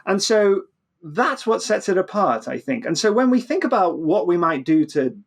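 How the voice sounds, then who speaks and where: Man, higher-pitched, explaining with stammered restarts. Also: very animated, moderate pace, vocal fry. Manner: stammering repeated starts. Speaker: physicist guest. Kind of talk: animated explanatory interview talk.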